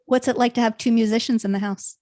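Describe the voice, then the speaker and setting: Woman host in her fifties, slightly high voice, dead dry close mic, brief interviewer questions. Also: steady tone, quick pace, darkish tone, dry recording. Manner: short encouraging replies. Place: home office, indoors. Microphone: studio mic on boom arm.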